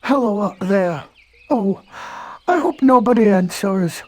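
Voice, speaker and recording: A man voicing a gentle, naive, friendly cartoon bear in a mild, earnest way. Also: high voice, animated, slow pace, slight background noise. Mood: timid.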